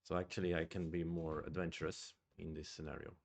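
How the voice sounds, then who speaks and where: A man's voice: sits low, flat delivery, a little fast. A man with a Swedish accent streams his go game, thinking aloud in a hesitant, musing way. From Sweden. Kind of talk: live-streamed game commentary.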